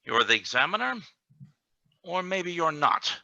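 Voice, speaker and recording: Radio play villain: scheming security chief, acted by a man, very hard bright tone, lively swings, insinuating menace. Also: a little high, a little slow, vocal fry, no room sound, highs cut off, full character voice. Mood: accusing.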